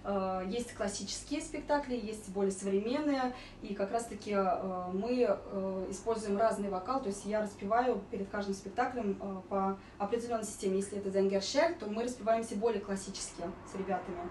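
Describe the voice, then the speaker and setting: A woman's voice: medium pitch, brisk, hardly any pauses, slight background noise. A woman giving an informal singing lesson as a vocal coach, explaining and demonstrating vowel sounds. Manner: explanatory, demonstrating vowels and sung warm-ups. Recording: roomy echo, distant mic, music behind.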